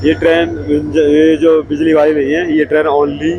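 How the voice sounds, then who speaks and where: A hoarse young man with a cold, chatting casually to camera as a vlogger and repeating himself often. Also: on the high side, monotone, measured pace, noisy background. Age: young adult. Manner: chatty and repetitive, keeps saying okay. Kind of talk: casual vlog talk to camera. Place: railway station platform, outdoors. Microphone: clip-on mic.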